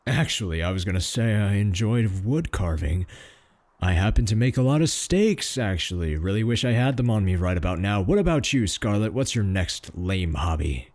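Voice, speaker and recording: Man acting, male werewolf, clingy, boastful, pleading, husky lowish voice, very animated intonation, comedic audio drama. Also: normal speed, dry recording, natural voice. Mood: sarcastic.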